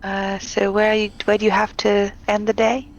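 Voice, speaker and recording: A woman's voice: mid-range voice, monotone, moderate pace, highs cut off. A woman chatting playfully with a streamer, often giggling as she talks. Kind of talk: casual chat.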